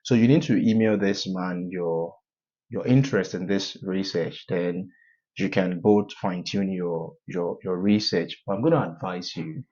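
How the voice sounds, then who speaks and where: Deep-voiced man giving halting advice. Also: a little mellow, dull audio. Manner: advisory, halting, many "um" fillers. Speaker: scholarship advice YouTuber. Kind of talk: screen-share walkthrough tutorial.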